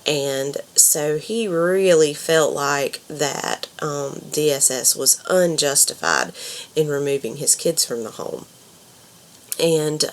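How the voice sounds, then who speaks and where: A woman's voice: low voice, gravelly. A young woman with a stuffy head cold talking casually as a counselor, hesitant with frequent ums and you knows.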